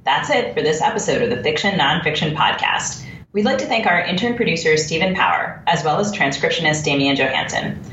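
A woman's voice: animated, brisk, a bit bright, muffled recording. A woman hosting a conversational literary podcast in a chatty manner, thinking aloud as she goes. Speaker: podcast host. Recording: roomy, distant mic, faint rumbling noise.

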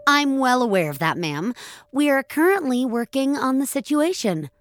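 A woman's voice: high voice, very animated, normal speed, faint music behind, pitched above the performer's own voice. A woman voicing a young, falsely polite customer service agent in an audio drama. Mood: patronizing. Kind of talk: audio fiction acting.

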